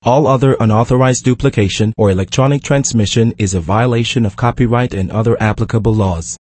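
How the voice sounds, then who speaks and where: Husky man reading a formal notice. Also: mid-range voice, moderate pace, a little mellow, no echo. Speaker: announcer. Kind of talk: scripted recorded notice.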